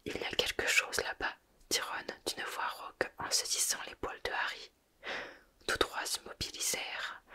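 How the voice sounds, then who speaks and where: Woman, whispered storybook reading, bright breathy tone, steady soft delivery. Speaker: reader.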